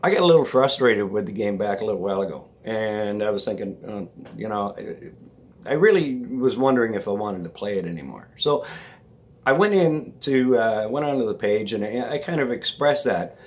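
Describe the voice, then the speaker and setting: Man, a bit raspy, low-pitched, chatty vlog host, rambling, keeps asking "all right?". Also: expressive, moderate pace. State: slightly raspy voice from allergies. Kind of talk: casual talk to camera.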